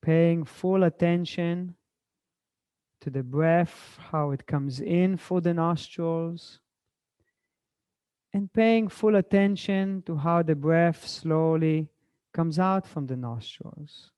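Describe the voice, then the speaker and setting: Male meditation guide from Israel: soft mellow tone, slightly high register, courteous, dry close sound. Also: steady tone, slow, clear, resonant tone, no echo. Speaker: swami, guest speaker and meditation guide. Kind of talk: gentle spiritual talk and guided meditation.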